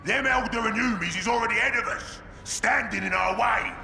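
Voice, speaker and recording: A brutish ork warlord for a video game, bellowing. The voice is very high-pitched for a man and gravelly, with an echo around it and music underneath. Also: brisk, heavily acted. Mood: scheming, bullish. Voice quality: gravelly, shouted growl. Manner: crude, bellowing, rough slangy grammar. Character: brutish bloodthirsty ork warlord boss, big and bossy. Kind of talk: video game character acting. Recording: echoey, music behind.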